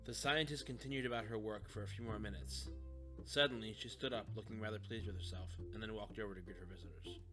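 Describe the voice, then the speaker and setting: A man's voice: lowish voice, fairly even intonation, fast talker, over music. A man reading scripted story narration for an audio drama.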